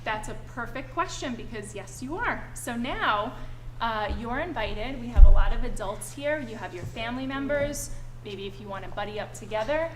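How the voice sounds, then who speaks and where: High-pitched woman reading to children. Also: moderate pace. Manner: animated, addressing young children with questions and simple explanations. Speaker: teacher reading a picture book aloud to children. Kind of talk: expressive children's read-aloud. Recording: echoey room, clearly noisy background.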